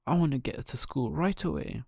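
A man voicing a naive, excitable wooden puppet boy who stammers as he explains, for a children's storybook. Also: on the high side, moderate pace, gravelly, slightly rough, dry recording, clean studio sound, voice pushed up. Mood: eager. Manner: hesitant, stammering when explaining.

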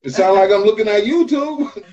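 A man with a deep voice, joining a live podcast over a video call and cheering the host on. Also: lively intonation, normal speed, mellow, lo-fi sound. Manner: encouraging, hypes the host. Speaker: guest family member.

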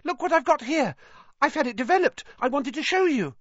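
A man's voice: very high voice, lively intonation, no room sound, muffled recording, pitched above the performer's own voice. A man voicing an eager, starstruck young schoolboy who chatters breathlessly and gushes with questions in an audiobook. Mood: eager, excited. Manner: breathless, gushing, rapid questioning.

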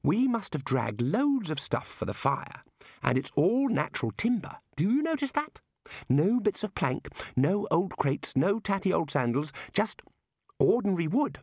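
A man's voice: high voice, sing-song, a little slow, darkish tone, husky, no echo, studio quality, voice pushed up, exaggerated voice. A man voicing an anxious, earnest young wizard in a storybook, fussy and insistent as he explains. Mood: earnest, exasperated.

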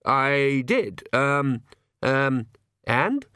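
A man's voice: mid-range voice, big pitch swings, extremely slow, bright, forceful tone, smooth, no echo, clean studio sound, exaggerated voice. A man voicing a nervous, earnest young male pastor with a hesitant, quavering delivery in a storybook. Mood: earnest, uneasy.

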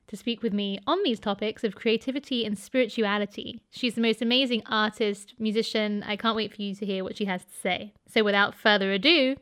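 A woman's voice: medium pitch, fairly bright tone, smooth. A woman hosting a podcast, interviewing a friend over a video call with some hesitant fillers. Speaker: podcast host. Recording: lo-fi call audio.

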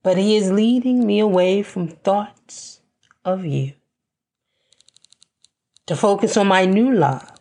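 A woman delivering a scripted spoken-word monologue in a deliberate, measured way, with pauses between phrases. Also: medium pitch, dark tone. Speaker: podcast host.

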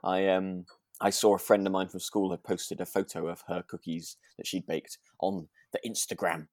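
Male podcast co-host, British accent, low pitch, casual conversational delivery, dry recording. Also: flat delivery, normal speed, darkish tone, dry recording. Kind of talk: casual chat.

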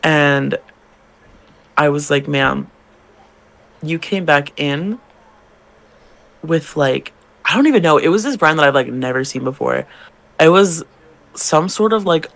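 A man's voice: on the high side, a little slow, pauses often, fairly bright tone. A young man, a drag queen co-hosting a podcast, telling work stories in casual chat, swearing and acting out customers' lines. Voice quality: gravelly, feminine-leaning voice.

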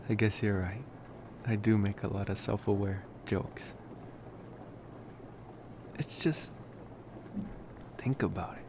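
Male actor as affectionate college vampire for ASMR roleplay: low, creaky, soft-spoken, hesitant, wistful, over clear background noise. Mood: wry, amused. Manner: hesitant, rambling, soft-spoken with pauses and sighs. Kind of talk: ASMR roleplay, intimate personal attention.

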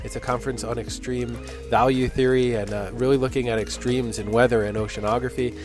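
A man's voice: medium pitch, level tone, brisk, over music. A man hosting a podcast, chatting in a friendly, conversational way with a guest. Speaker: podcast host. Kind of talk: casual interview. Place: outdoors at a conference venue.

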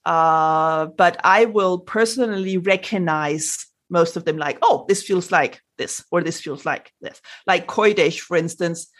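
A woman's voice: fairly low pitch, a little slow, few pauses. A Danish woman, a native speaker of Danish with a Danish accent, speaking animatedly as a spiritual guest on a podcast, with sound effects and many restarts. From Denmark. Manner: frequent restarts and fillers. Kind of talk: podcast interview.

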